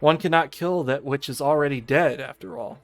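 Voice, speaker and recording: A man's voice: on the high side, moderate pace, close to an everyday voice. A man voicing a prim, condescending female arbiter of a deadly game, reading a visual novel aloud in formal, theatrical tones. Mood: prim, haughty. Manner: theatrical, formal, mock-refined. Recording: music behind the voice.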